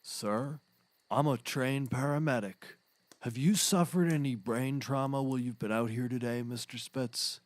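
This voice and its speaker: An actor playing an earnest paramedic in a scripted comedy, speaking with deadpan concern. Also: male voice, medium pitch, unhurried, lightly acted. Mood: concerned, puzzled. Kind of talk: scripted comedy audio acting.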